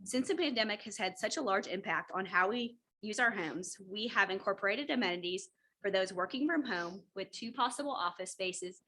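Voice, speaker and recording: A young woman reading a rehearsed student presentation in a clear, steady way. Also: medium pitch, normal speed. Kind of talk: scripted presentation.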